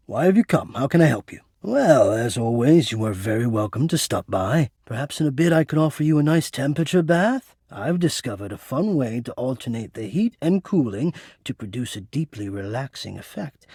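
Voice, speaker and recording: A man's voice: fairly high pitch, a little mellow, husky, no room sound, clean studio sound, full character voice. An actor voicing a sentient home ventilation system in a video game, an eloquent, dramatic male romantic with florid, formal delivery. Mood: courteous, welcoming. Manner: florid, theatrical, formal wording.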